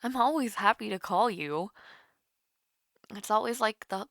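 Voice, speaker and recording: A woman's voice: mid-range voice, unhurried, no room sound, studio quality. A young woman playing a shy, caring college student with a crush in an intimate audio roleplay, hesitant and easily flustered. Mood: considerate, fond. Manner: hesitant, flustered, soft-hearted, giggly. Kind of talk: intimate romantic audio roleplay, one-sided call.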